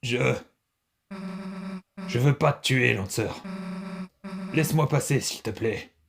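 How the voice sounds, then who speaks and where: A man voicing a gruff, hot-tempered yet wounded teenage monster girl in dramatic French comic-dub game dialogue, brusque and menacing. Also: medium pitch, slow pace, a little hiss or hum. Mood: reluctant, pleading.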